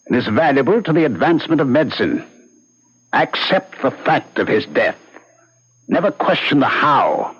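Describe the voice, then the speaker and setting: Muffled old radio play, cold bossy young doctor villain, raspy, swoopy theatrical delivery. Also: male voice, mid-range voice. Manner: commanding, smooth-talking, theatrical. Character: charismatic, coldly manipulative young male doctor, menacing villain. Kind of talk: radio horror drama acting.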